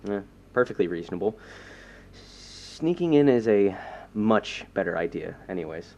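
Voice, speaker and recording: A man's voice: on the low side, animated, normal speed, a little mellow. A man playing a cocky, reckless supervillain in an audio drama, with a breezy, teasing delivery. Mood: teasing, agreeable.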